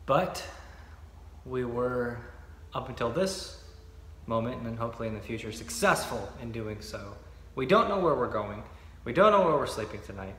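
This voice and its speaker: A man's voice: medium pitch, lively intonation, normal speed, fairly bright tone, slight background noise. Echoey man chatting in a reverberant room. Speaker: vlogger. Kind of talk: casual chat to camera. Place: indoors in an echoey home.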